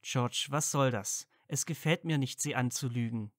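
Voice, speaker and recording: A man's voice: mid-range voice, normal speed, no room sound, studio quality, close to an everyday voice. A man playing an earnest, loyal, easily flustered young wizard friend in an audio drama. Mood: concerned. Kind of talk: fan fiction audio drama dialogue.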